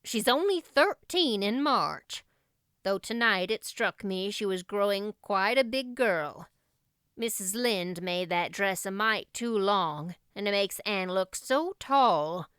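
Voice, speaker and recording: A woman voicing a reserved, sensible older country woman in an audiobook, measured and matter-of-fact. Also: mid-range voice, animated, fairly bright tone, clean tone, no echo, clean studio sound. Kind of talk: audiobook character voice.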